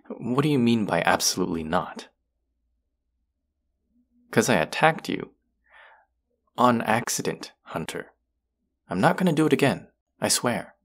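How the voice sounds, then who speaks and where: A man's voice: medium pitch, big pitch swings, unhurried, creaky, long pauses, exaggerated voice. A young man acting as an earnest, wry young vampire in an intimate audio roleplay drama. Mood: earnest pleading.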